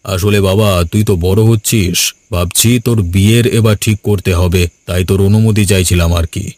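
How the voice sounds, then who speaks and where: A man voicing an earnest, middle-aged village father in a cartoon, speaking in a measured, persuasive way. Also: low-pitched, steady tone, a little mellow, no echo, lightly acted. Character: earnest village father planning his son's wedding. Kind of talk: cartoon voice acting in a family drama. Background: background music.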